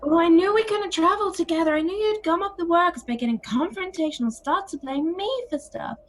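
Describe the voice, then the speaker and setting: A woman's voice: very high-pitched, fairly quick, a little hiss or hum. A woman playing a defensive, flighty, exasperated sister in an audio play, dramatic and full of sighs. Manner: dramatic, sighing, often opens with "oh".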